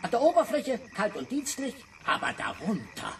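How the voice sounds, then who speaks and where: A grandiose French gentleman in a radio play. His voice swings up and down theatrically and effusively, a little distant with faint noise behind. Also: high voice, wildly swooping pitch, normal speed, gravelly, exaggerated voice. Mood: marvelling. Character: excitable, grandiose bearded Frenchman, fond of exaggeration. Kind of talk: radio drama dialogue.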